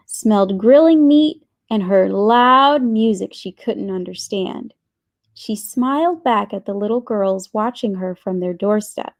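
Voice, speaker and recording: A woman reading a children's storybook aloud with expressive, deliberate phrasing. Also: mid-range voice. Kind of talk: scripted storybook narration.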